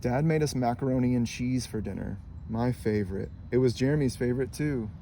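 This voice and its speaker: Man calmly reading a children's story. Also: medium pitch, fairly quick, a little hiss or hum. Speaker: storybook reader. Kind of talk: reading a picture book aloud.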